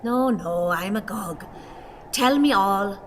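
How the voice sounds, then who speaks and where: A woman's voice: medium pitch, lively intonation, slow, fairly bright tone, big-room sound, slight background noise. An actress playing a frail, melodramatic old wife in a radio play, plaintive and genteel in her wordy delivery. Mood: earnest, wistful. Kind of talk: theatrical radio play acting.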